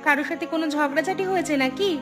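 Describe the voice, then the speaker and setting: A woman's voice: high voice, fast talker, lightly acted. A woman voicing a concerned village wife in a cartoon drama, questioning and insistent. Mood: concerned, probing. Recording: music clearly behind the voice.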